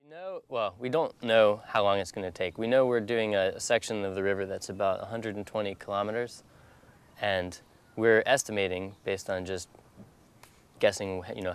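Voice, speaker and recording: A man's voice: lowish voice, normal speed, clean tone. An American man in his twenties from North Carolina, explaining plans casually in an interview. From North Carolina, USA. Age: 20s. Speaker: expedition paddler being interviewed.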